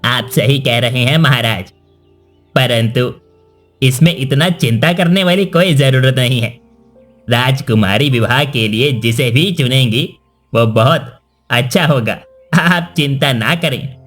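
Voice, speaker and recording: A loyal, deferential minister in a cartoon tale , acted by a man. His voice is mid-pitched, over soft music. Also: unhurried. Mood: reassuring. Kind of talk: cartoon story acting.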